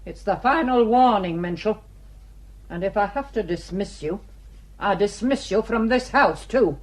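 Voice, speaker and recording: A woman's voice: medium pitch, lively intonation, measured pace, low-quality recording. Haughty, grasping old Northern English landlady. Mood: domineering, threatening. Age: older. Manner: haughty, scolding, sarcastic, blunt. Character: grasping, spiteful old female mill owner and landlady. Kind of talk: radio drama acting.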